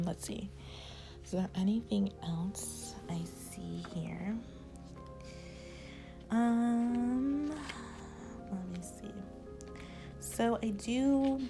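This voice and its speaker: Woman, tarot reader, rambling and advising, voice giving out, soft warm tone. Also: sits low. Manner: rambling, many "like" fillers, advising tone. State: losing her voice. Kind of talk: casual spoken tarot reading.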